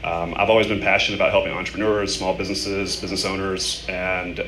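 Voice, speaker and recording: Young male panelist, casual choppy phrasing, echoey venue with clear background noise. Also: low-pitched, little pitch movement, fast talker. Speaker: startup founder on a panel. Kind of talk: casual personal story on a panel. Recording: echoey noisy room, live event microphone.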